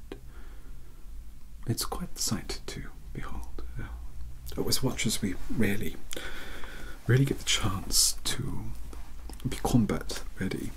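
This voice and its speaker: A man voicing a bookish, awkward young British mentor to vampire hunters in a soft-spoken ASMR roleplay, rambling and hesitant in a breathy half-whisper. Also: fairly low pitch, low-quality recording. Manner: hesitant, rambling, politely self-doubting.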